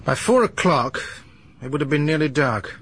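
Sharp-minded inspector in a radio play, fairly high pitch, measured and probing. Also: male voice, animated, husky, exaggerated voice. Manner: probing, measured, reasoning aloud. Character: sharp, methodical police inspector. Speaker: police inspector. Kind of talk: 1980s BBC radio drama acting.

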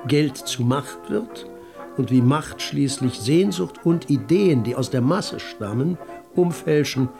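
A man's voice: fairly high pitch, expressive, slow pace, gravelly, over music, heavily acted. A man performing as a grave literary narrator in a radio drama. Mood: grave narration.

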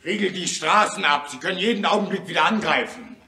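A man's voice: sits high, quick pace, pushed and bright, echoey room. A man playing an earnest young revolutionary son in a German radio play, speaking urgently and emphatically.

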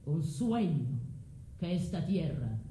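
A woman acts out a pompous immigrant husband, coaxing and condescending, in an echoey room. The voice is dropped low, with a southern Italian accent mixed with Spanish, in a theatrical style. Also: sing-song, slow pace, mellow, exaggerated voice. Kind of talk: theatrical monologue in mixed dialect. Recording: echoey room with faint rumbling noise.

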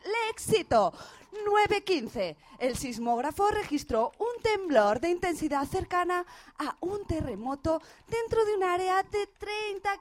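Actress as earnest radio newswoman, high voice, ceremonious interviewer manner, for a spoof radio drama. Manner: formal, announcer-like. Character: earnest, formal female radio reporter interviewing a scientist. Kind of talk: parody radio drama acting.

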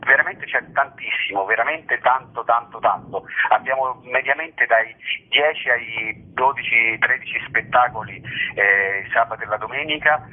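A man's voice: medium pitch, moderate pace. A man being interviewed as a festival director, talking spontaneously and effusively, rambling and repeating words for emphasis. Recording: muffled, narrow phone line.

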